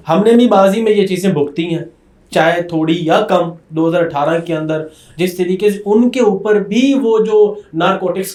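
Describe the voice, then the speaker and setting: A man's voice: high voice, a little mellow, reverberant. A man with a Pakistani accent conducting a political interview, putting long, probing questions.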